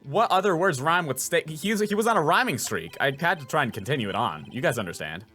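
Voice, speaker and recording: A man's voice: fairly high pitch, very animated, fast talker, sharp tone, husky, soft background music. A man giving casual, joking, rambling let's-play commentary on a video game. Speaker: voice actor playing through a game.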